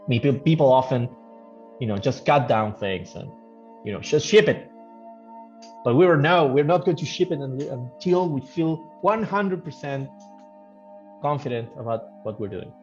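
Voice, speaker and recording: A man who works as a game developer gives casual interview answers, thinking aloud haltingly and repeating phrases. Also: medium pitch, lively intonation, a little mellow. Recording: dull lo-fi audio, music behind. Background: background music.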